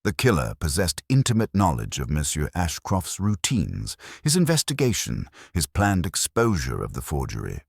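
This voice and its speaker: A man reading a scripted mystery story as narrator, in a measured, even-paced way. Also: sits low, slightly rough, no echo, clean studio sound.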